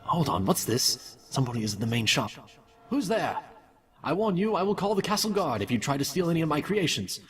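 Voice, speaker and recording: A man's voice: a little high, very animated, fairly quick, far from the mic, noisy background. A man playing an obsessive villainous candy maker in an audio drama, dictating experiment notes with clinical, theatrical self-satisfaction. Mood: alarmed, suspicious.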